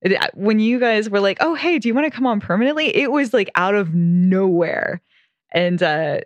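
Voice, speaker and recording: A woman's voice: medium pitch, lively intonation, normal speed, no room sound, muffled recording. Woman, casual podcast co-host, chatty, laughs often, dry close mic. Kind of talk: casual chat.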